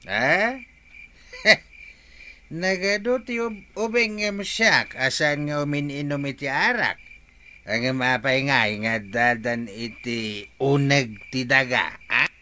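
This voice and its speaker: A man's voice: medium pitch, takes it slowly, sharp tone, a little hiss or hum. An actor playing an older father who is fond of rice wine, wheedling and stubborn. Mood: coaxing. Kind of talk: radio drama acting.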